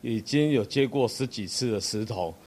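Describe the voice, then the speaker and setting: A man's voice: mid-range voice, moderate pace, darkish tone. A man with a Taiwanese Mandarin accent, an official recounting his experience in an interview. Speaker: city cleaning squad leader. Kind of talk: interview recollection.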